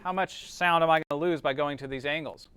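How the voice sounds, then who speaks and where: A man's voice: fairly high pitch, fairly even intonation, fairly quick, fairly bright tone, clear, resonant tone. A man teaching a class informally, explaining step by step and often checking understanding with short tag questions.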